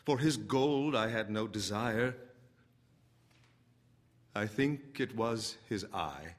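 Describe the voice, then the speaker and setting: An actor playing a nervous, gleeful madman who confesses to murder and insists he is sane, in a theatrical, deliberate story reading with long pauses. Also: on the low side, animated. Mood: brooding, obsessed. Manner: intense, deliberate, with long pauses and dark chuckles.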